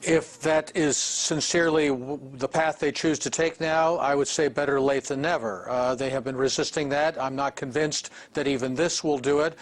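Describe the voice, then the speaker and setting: A man, a former diplomat, giving slow, deliberate analysis in a live remote interview, often restarting his sentences. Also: a little high. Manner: measured, deliberate, with frequent restarts. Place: remote studio.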